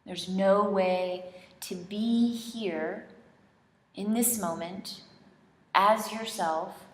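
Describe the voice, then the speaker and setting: Slow-paced woman guiding meditation in roomy space. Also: medium pitch. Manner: unhurried, gentle phrasing with long pauses. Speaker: guided meditation instructor. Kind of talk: slow guided relaxation meditation, soothing instruction. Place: indoor studio, leading a group in person. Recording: roomy, echoing studio sound.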